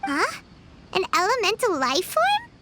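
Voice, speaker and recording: A woman voicing a tiny, chirpy, helpful girl companion in a video game, with a squeaky childlike voice and an expressive, inquisitive manner. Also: measured pace, background noise, heavily acted. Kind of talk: scripted video game dialogue.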